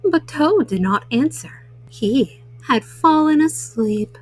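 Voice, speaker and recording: A woman telling bedtime stories to small children in a gentle manner, as a storyteller. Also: high voice, lively intonation, slow, no echo.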